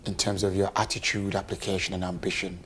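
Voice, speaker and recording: A man hosting a local television interview, asking questions and giving quick encouraging affirmations. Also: deep voice, level tone, fairly bright tone, breathy edge.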